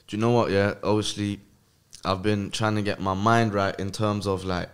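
A man's voice: lowish voice, level tone, moderate pace, clean tone. A man with a London accent chatting casually as a podcast guest, very informal, with slang and frequent tag questions. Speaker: podcast guest, music artist. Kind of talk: casual chat.

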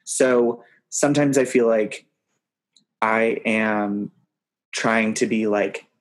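A young man in his twenties with a slightly low voice. He tells stories in a cheerful, chatty way during a relaxed conversation. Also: takes it slowly. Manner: upbeat, storytelling, chatty. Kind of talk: casual chat.

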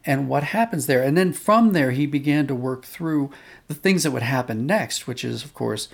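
Reflective man with a creaky voice. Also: medium pitch, expressive, normal speed. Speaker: podcast guest, audio drama writer and director. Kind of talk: thoughtful explanatory conversation.

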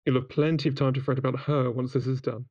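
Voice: medium pitch, flat delivery, fast, darkish tone. Muffled, matter-of-fact colleague over comms. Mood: calm, firm. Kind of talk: audio drama dialogue.

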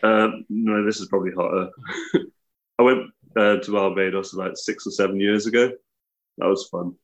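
A man's voice: fairly low pitch, fairly even intonation, normal speed. A man from the Welsh border with a Midlands English accent, a podcast guest talking casually and self-deprecatingly with many fillers. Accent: Herefordshire, West Midlands English. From England, Welsh border near Hereford. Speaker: podcast guest, tattoo artist.

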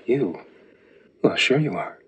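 An actor playing a devoted young grandson in a TV soap, speaking softly and tenderly to reassure. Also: male voice, mid-range voice, big pitch swings, slow, lo-fi sound, slight background noise. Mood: gently reassuring. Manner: tender, soft, teasingly reassuring. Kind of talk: television soap drama acting. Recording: soft music behind the dialogue.

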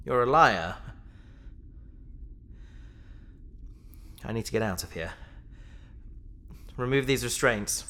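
A man's voice: mid-range voice, lively intonation, sharp tone. Tense, tormented man in space. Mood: agitated. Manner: bitter, halting, desperate. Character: disturbed, self-loathing man breaking down in space. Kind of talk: science fiction audio drama acting.

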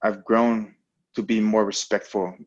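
Young man from american samoa, low flat voice, dull lo-fi line, relaxed halting talk. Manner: laid-back, hesitant, many fillers and restarts. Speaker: professional fighter, guest. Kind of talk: casual interview. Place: Alaska, remote call.

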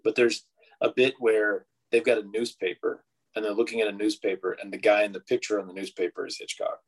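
A man's voice: fairly low pitch, fairly even intonation, fairly quick, poor audio. A man in casual conversation about a classic film, thinking aloud and often restarting his sentences. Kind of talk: casual movie discussion.

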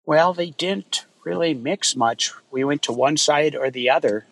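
A man from Minnesota, a guest in a podcast interview, talking in a relaxed, rambling way with many fillers. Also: a little high, a little slow, gravelly, low-quality recording. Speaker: guest, wildfire fighter. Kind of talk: casual interview chat.

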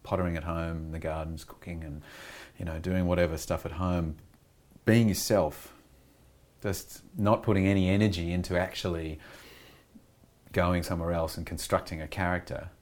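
Low-voiced Australian man, candid and rambling. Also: steady tone, a little mellow. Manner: candid and rambling, frequent "you know" and restarts, quick laughs. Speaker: actor being interviewed. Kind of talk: reflective conversational interview.

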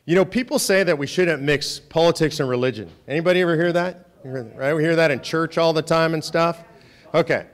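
Loud, emphatic man addressing a room. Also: a little high, fairly quick. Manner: conversational, emphatic, rhetorical "right?" tag questions. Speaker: organization president speaking to volunteers. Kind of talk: speech with audience questions. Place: meeting room with a live audience.

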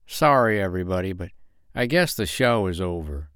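A man's voice: sits low, sing-song, normal speed, a bit bright, clear, resonant tone, no room sound, clean studio sound. A man voicing a small, naive, earnest male firefly with a plaintive manner in a bedtime story. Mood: dejected.

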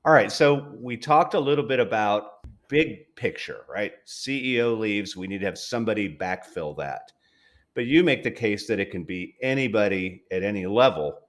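A man's voice: fairly low pitch, expressive, moderate pace. A man hosting an interview in casual chat, with an informal, jokey way of talking. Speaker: interview host. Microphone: online call.

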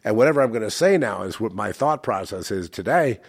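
A man's voice: fairly low pitch, expressive, fairly quick, creaky, breathy edge. An older man with a head cold talks in a rambling, reflective way and often stops to correct himself. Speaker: channel host. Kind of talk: casual spiritual monologue.

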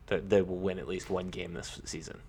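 A man with a fairly low voice chats as a sports podcast co-host. He talks informally and in a halting way, circling back to restart phrases as he goes. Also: little pitch movement, warm tone, a little hiss or hum. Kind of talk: casual sports podcast chat.

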